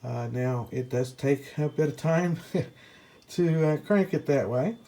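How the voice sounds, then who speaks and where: A man presenting a casual, unscripted product review as a hobbyist, speaking in a hesitant, halting way. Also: fairly high pitch, mellow.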